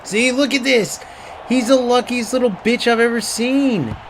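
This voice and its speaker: A man's voice: very high voice, unhurried, some chatter behind. A man streaming a football game, commentating live with profane, ranting trash-talk about his opponents. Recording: clear background noise.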